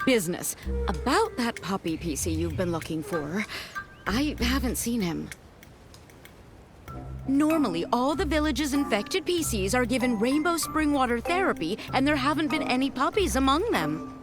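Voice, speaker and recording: A woman's voice: fairly high pitch, sing-song, normal speed. A woman playing a proud, fussy elderly village head in a video game, with a theatrical, blustering delivery. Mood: flustered, evasive. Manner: theatrical, blustering, stammers when evasive. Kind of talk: video game character acting. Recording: game music behind the voice.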